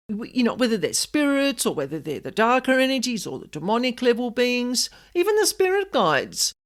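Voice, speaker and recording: A middle-aged woman hosting a solo podcast, explaining things in measured, deliberate phrases and spelling out lists with emphasis. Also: a little high. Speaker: podcast host and energy worker.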